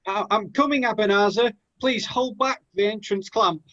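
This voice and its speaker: A man's voice: sits high, normal speed, pushed and bright, highs cut off. A man playing a plucky, naive young Aladdin in a rhyming pantomime, with an earnest theatrical delivery. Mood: defiant. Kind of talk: pantomime acting.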